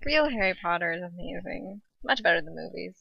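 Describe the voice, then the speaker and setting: A woman's voice: fairly low pitch, expressive, a little fast, vocal fry, dull audio. A woman chatting casually as co-host of an informal fan reading. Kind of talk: casual chat.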